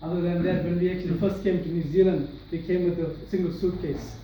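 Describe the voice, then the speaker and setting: A man's voice: high-pitched, steady tone, fairly quick, dull audio, a little hiss or hum. A young man from Sri Lanka giving an earnest personal testimony to an audience in a large room. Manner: earnest, many restarts and fillers. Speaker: speaker sharing his testimony. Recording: echoey hall, voice from far off.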